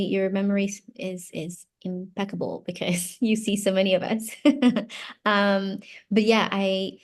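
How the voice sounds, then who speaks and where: Woman, from Brazil, native Portuguese, mid-range voice, casual interview guest, thinks aloud haltingly. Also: mid-range voice, darkish tone. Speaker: interview guest, psychology doctoral graduate.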